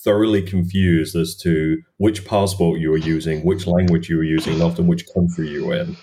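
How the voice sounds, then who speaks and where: A man's voice: sits low, steady tone, a little fast, warm tone, slight background noise. A man hosting a podcast, asking conversational, loosely phrased questions. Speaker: podcast host. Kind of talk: interview questions.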